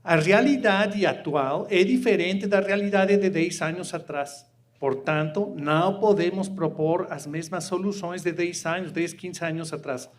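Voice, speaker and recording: A Mexican man whose first language is Spanish, speaking at a panel in halting, accented Portuguese with many fillers, partly reading a prepared statement. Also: high voice, slight background noise. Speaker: panel speaker from an international food agency.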